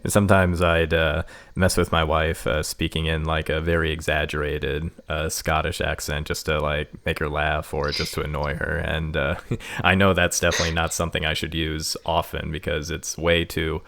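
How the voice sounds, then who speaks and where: A man's voice: extremely low pitch, flat delivery, moderate pace, vocal fry, a bit bright. A man with a Midwestern American accent hosting a casual interview podcast, talking in a hesitant way with lots of filler words. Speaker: podcast host.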